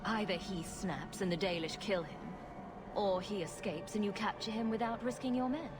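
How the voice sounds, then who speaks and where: An actress playing a quick-witted, sarcastic rogue adventurer in a video game, cool-headed and glib. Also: female voice, mid-range voice, natural voice. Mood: persuasive. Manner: wry, glib. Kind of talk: dramatic game dialogue. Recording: music behind the voice.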